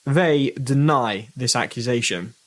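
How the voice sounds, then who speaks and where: A man's voice: medium pitch, unhurried. A man reading a formal scripted announcement in documentary style. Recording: clearly noisy recording with hum.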